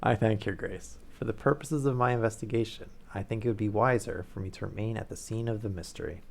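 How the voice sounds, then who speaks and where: A man voicing a calm, polite male detective who speaks in a bland, measured way. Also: on the low side, creaky. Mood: bland, probing.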